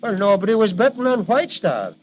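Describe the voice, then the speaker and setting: A man's voice: high voice, very animated, fairly quick. An actor playing a folksy, streetwise policeman with colloquial tough talk, in old radio drama style. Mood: curious, chatty. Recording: dull, noisy old radio recording.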